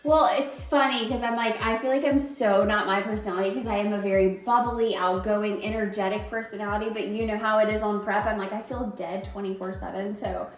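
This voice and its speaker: A woman's voice: mid-range voice, fairly even intonation, fairly quick, few pauses. A woman in her thirties chatting casually and effusively, like a vlogger. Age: 30s. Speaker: vlogger, amateur bodybuilding competitor. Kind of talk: casual, gushing chat. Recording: echoey room, distant mic, faint music.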